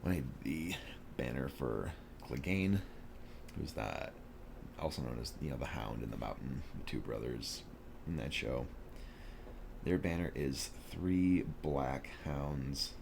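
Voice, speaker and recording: A man talking softly in an ASMR drawing video, relaxed and slow, slipping into whispers now and then. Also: gravelly, noisy background. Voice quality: deep voice. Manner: soft-spoken, slow and relaxed, drifting into whispers. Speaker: ASMR artist. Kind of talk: soft-spoken ASMR chat while drawing.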